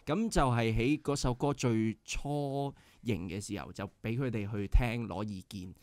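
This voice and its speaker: A man's voice: medium pitch, normal speed, a little mellow, no echo. A young man with a Hong Kong Cantonese accent, a band member guest on a radio show, explaining earnestly with many filler sounds. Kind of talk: casual radio interview. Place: radio studio.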